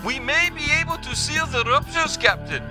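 An actor playing an excitable starship engineer in a sci-fi comedy audio drama. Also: male voice, extremely high pitch, normal speed, music in the background. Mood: earnest, urgent.

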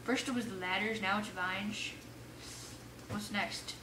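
A woman giving casual let's play commentary, excitable, exclaiming and muttering to herself as she plays. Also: mid-range voice, unhurried. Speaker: gamer playing an adventure map for viewers. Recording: roomy echo, distant mic, faint noise.

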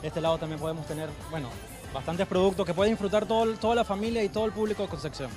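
A man's voice: sits high, very fast. A man with a Chilean accent, an event organizer answering a reporter in an agreeable, eager way. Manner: eager, agreeable, repeats "claro". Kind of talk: on-camera promo interview. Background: background music.

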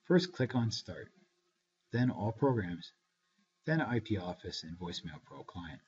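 A man narrating a step-by-step software tutorial in a measured, instructional way. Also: sits low, very animated, a little hiss or hum. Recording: dull, lo-fi screencast voice-over.

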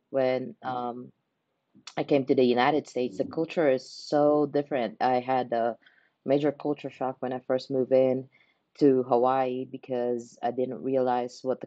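A young woman talks slowly and thoughtfully, stopping now and then to find the right words. Her voice is warm and dark in tone. The sound is dry and a little dull, as if she recorded it through a headset at her laptop in a small room. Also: rumbling low, dry recording. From Philippines. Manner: unhurried, pausing to search for words. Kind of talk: unscripted reflective monologue. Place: small indoor room by a window.

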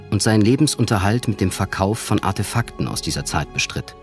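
An actor playing an educated gentleman, narrating and speaking formally in an eerie audio drama. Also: fairly low pitch, natural voice. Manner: measured, formal, literary. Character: educated gentleman recounting an eerie past adventure. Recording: music under the voice.

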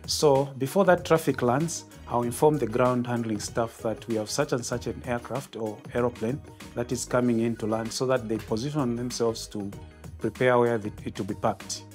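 A middle-aged Zambian man speaks at a medium pitch with soft music underneath. His delivery is formal and methodical, that of a controller explaining procedures in an interview. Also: fairly even intonation, normal speed. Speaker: air traffic controller, tower. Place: glass-walled control tower room, indoors. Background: background music.

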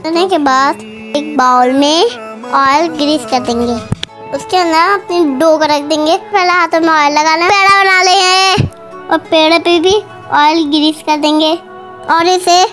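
A woman's voice: very high-pitched, a little slow, a bit bright. A young-sounding female cooking host, narrating a recipe step by step in voice-over. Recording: music behind the voice. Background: background music.

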